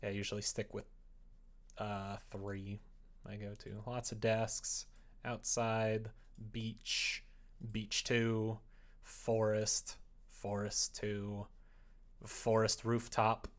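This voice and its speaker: A streamer chatting casually while working, muttering and thinking aloud at a slow pace. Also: mid-range voice, level tone. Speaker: streamer making a visual novel.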